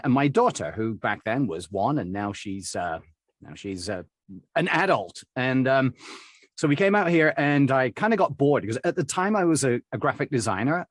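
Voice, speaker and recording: A middle-aged Englishman chats through his story as an interview guest. His voice sits at an everyday middle pitch and is recorded close and dry, with no room around it. He rambles good-naturedly, full of fillers and quick chuckles. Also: dry recording. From England. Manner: chatty and rambling, with frequent fillers and small laughs. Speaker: accent and dialect coach, guest.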